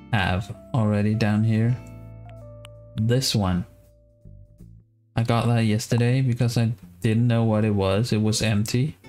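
Male game streamer, thirties, Danish accent, unhurried casual delivery, guitar music underneath. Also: fairly low pitch, a little mellow. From Denmark. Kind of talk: casual gameplay commentary.

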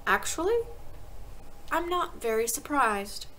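A woman voicing a kind, calm schoolteacher in a storybook, speaking in a gentle, measured and frank way. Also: fairly high pitch, a little hiss or hum, heavily acted.

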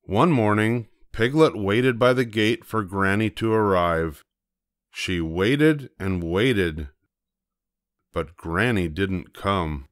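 An older man narrating a children's story expressively, with deliberate pauses for effect. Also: on the low side, no room sound, clean studio sound.

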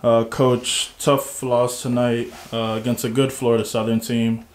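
Male moderator, monotone questions, echoey room. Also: mid-range voice, unhurried. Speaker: press conference moderator. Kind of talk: post-game interview questions.

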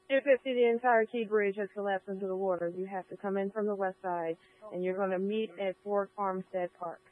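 Fast-talking female radio dispatcher. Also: medium pitch, fairly even intonation, narrow, tinny audio. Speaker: emergency communications dispatcher. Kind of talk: emergency radio traffic.

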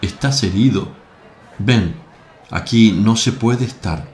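A man playing a serene, slow-spoken Jesus. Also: on the low side, darkish tone, reverberant, slight background noise. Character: calm, serene Jesus, gentle authority. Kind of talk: radio drama acting.

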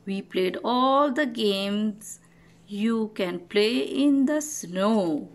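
A woman reading a children's picture book aloud as host, expressive and deliberate for young listeners. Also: mid-range voice. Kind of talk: storybook read-aloud.

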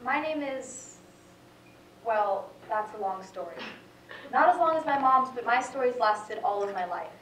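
A woman's voice: fairly high pitch, lively intonation, unhurried, a bit bright. A teenage woman playing a wounded, wry daughter from an abusive home in a reflective stage monologue. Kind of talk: high school stage monologue. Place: indoor theatre stage. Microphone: far camera. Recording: echoey noisy theatre, distant microphone.

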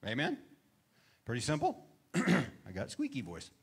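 A man's voice: on the high side, huge pitch swings, a little slow, gravelly, husky. A middle-aged male pastor preaching on a church stage into a handheld mic, often asking the congregation questions and calling for responses. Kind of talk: sermon. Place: church stage with brick wall.

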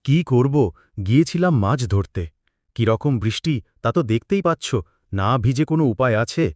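A man's voice: medium pitch, animated, normal speed, darkish tone, no room sound, studio quality, natural voice. An actor playing a poor, hard-working village fisherman father in a cartoon. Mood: weary, complaining. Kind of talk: cartoon voice acting.

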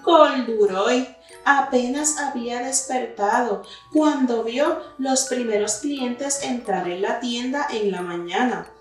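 A young adult woman reading a picture book aloud to children in an expressive, deliberate storytelling style. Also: on the high side. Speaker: children's story reader, teacher. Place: indoor room with wooden cabinet and plants. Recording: very echoey room, distant mic, faint music.

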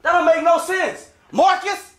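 A man acting in a comedy sketch as basketball players in a locker room, loud and teasing, shouting between laughs. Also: very high voice, steady tone, unhurried. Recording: echoey room clip.

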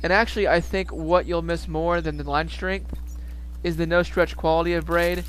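A young man giving calm, matter-of-fact fishing tips as a voice-over narrator. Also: on the high side, moderate pace, a little hiss or hum, low-quality recording. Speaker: fishing video host.

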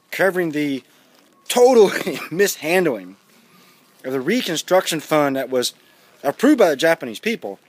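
A man in his thirties speaks as an opinionated vlogger, blunt and emphatic. His voice sits fairly high and swings widely in pitch. He is recorded outdoors at arm's length, with a dry, echo-free sound. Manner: blunt, emphatic, sardonic, with swearing. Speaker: vlogger giving news commentary. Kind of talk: casual, opinionated commentary to camera. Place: outdoors, walking in a residential neighborhood. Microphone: phone or camera at arm's length.

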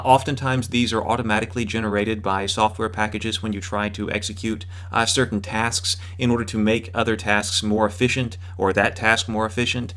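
Male instructor, 30s, fairly low voice, explanatory and somewhat hesitant. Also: fairly bright tone. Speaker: online course instructor. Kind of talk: explanatory lecture.

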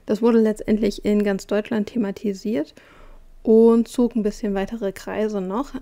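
A woman narrating a voice-over art quiz, explaining clues in a calm, measured way with small hesitations. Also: mid-range voice.

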